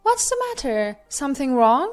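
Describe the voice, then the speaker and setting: An eager new grandmother in her fifties in a narrated family drama, played by a woman reader. The voice runs high and presses anxiously, with faint music underneath. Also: very animated, normal speed. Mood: eager, concerned.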